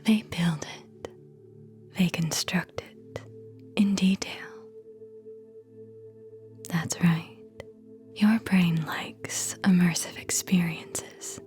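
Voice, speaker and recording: A woman whispers a guided sleep meditation in a lowish register. She moves extremely slowly, leaving long pauses between short, soothing, hypnotic phrases. It is intimate ASMR delivery throughout. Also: fairly even intonation, a little mellow, faint music behind.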